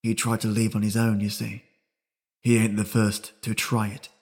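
A man reading aloud and voicing a sullen, weary young drifter who speaks in a low, rustic way. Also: monotone, normal speed, a little mellow, husky. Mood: weary, somber. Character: sullen young male drifter, weary beyond his years.

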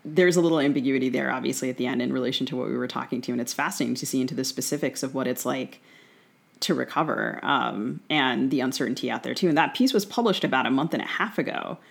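Guy podcast host, creaky voice, mid pitch, thoughtful, feels his way through questions. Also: quick pace. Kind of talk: conversational literary interview.

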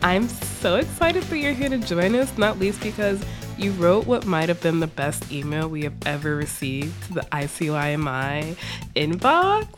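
A woman's voice: on the low side, big pitch swings, moderate pace, over music. A woman hosting a podcast in casual chat, effusive and wry. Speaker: podcast host.

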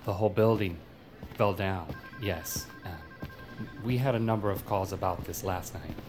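An actor playing a hesitant, unimpressed call operator speaking in a halting way in an audio drama. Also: male voice, low-pitched, music in the background, close to an everyday voice.

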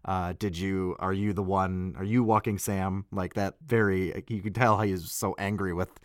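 A man with a deep voice, recorded very dry, chats casually as a voice actor looking back on his work. His delivery is loose and halting, and he often corrects himself mid-thought. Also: fairly even intonation, no room sound. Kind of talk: casual recap conversation.

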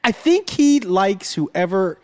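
Man, casual comedic chat, very lively pitch, dry close sound. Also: slow pace, no room sound. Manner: animated storyteller, bursts into high-pitched singing and laughter.

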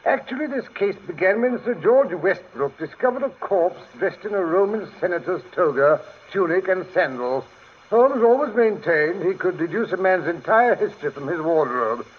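Old-time radio british old gent storyteller, high husky voice, fussy and chatty, muffled vintage sound. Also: male voice, expressive, normal speed. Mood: reminiscing. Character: Doctor Watson, genial old English gentleman storyteller. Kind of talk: 1940s radio acting.

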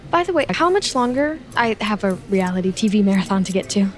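Actress as an eager, clingy young best friend. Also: female voice, medium pitch, big pitch swings, fast talker, natural voice. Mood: earnest, wistful. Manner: chatty, hesitant, nervously upbeat. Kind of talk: audio drama acting, confiding narration and dialogue.

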